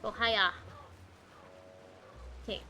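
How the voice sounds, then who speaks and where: A woman's voice: mid-range voice, fairly even intonation, slow pace, sharp tone, slight background noise. A woman doing casual live game commentary, reacting aloud in short muttered remarks. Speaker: game streamer.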